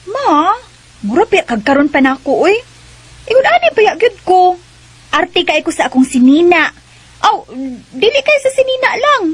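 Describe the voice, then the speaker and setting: A high-voiced, fussy boy-crazy young woman. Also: big pitch swings, normal speed, pauses often, no room sound. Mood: whiny, haughty. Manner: exaggerated, whiny, girlish. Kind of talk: radio drama acting.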